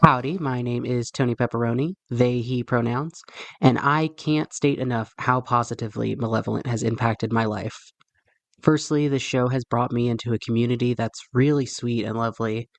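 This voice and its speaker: A man with a medium-pitched voice records a listener message. It is a prepared personal testimonial, captured dry and close. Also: fairly even intonation, normal speed, creaky, dry recording.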